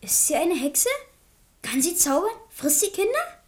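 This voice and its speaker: A woman's voice: high-pitched, sing-song, a little slow. A woman playing a naive, inquisitive little boy prince in a German radio play. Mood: eager, curious. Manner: childlike, inquisitive.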